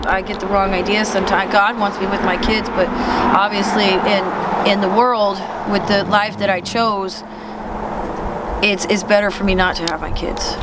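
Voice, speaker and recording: A woman in her forties from Indiana telling her personal story in an interview, halting as she searches for words. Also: medium pitch. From Indiana, then Texas. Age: 40s. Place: outdoor camp in the woods. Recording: loud outdoor traffic noise.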